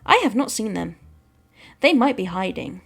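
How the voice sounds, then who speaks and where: A woman doing Atom, the loud stilted oddball recruit. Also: medium pitch, lively intonation, moderate pace, gravelly, slightly rough, faint music behind. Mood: insistent. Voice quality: stilted broken phrasing, abrupt loud bursts. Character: eccentric stiff-speaking new crew member, odd unplaceable accent.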